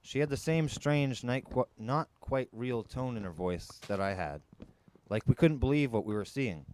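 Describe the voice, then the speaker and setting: A man's voice: mid-range voice, animated, moderate pace, a little mellow. A man narrating a first-person adventure novel in a dramatic storytelling style. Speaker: storyteller reading an audiobook.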